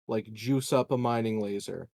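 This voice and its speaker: A man chatting casually as a player in a tabletop game, improvising ideas haltingly and repeating words. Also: fairly low pitch, fairly even intonation, gravelly, a little mellow, dry recording, studio quality.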